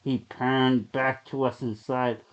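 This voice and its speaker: A man reading a horror story aloud as a dramatic narrator, with deliberate, suspenseful delivery. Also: medium pitch, little pitch movement. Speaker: story reader. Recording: dull, lo-fi sound.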